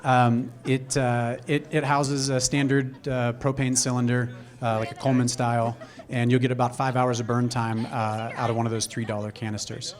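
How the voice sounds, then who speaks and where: A man giving a business pitch on stage through a microphone, halting and repeating words as he addresses a live audience. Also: little pitch movement. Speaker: entrepreneur pitching a product.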